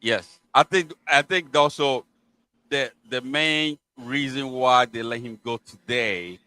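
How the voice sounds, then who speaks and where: A man's voice: mid-range voice, slow pace, sharp tone, smooth, poor audio. A man co-hosting a casual football chat, who laughs as he retells stories. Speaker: podcast co-host.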